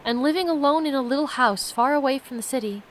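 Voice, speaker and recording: A woman reading aloud the journal of a reflective, solitary man in his nineties, measured and solemn. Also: sits high, lightly acted. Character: reflective, solitary 92-year-old man's written journal.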